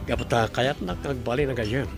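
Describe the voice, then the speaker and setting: A man's voice: mid-range voice, normal speed, gravelly, lightly acted. A man playing a friendly young resort owner over music. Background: background music.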